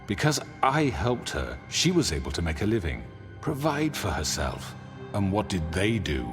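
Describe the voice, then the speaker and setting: An actor playing a smooth, manipulative crime boss in a video game, with measured, theatrical speechifying. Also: male voice, sits low, sing-song. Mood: self-righteous. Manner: measured, theatrical, silky self-righteous speechifying. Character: smooth, manipulative crime boss, self-justifying older man. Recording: reverberant hall sound under loud music.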